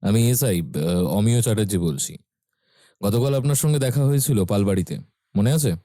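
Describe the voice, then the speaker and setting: A man playing a formal, matter-of-fact police sub-inspector in an audio story, official and deliberate with slight hesitations. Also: lowish voice, animated, mellow, no echo, clean studio sound, close to an everyday voice. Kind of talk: audio story character acting.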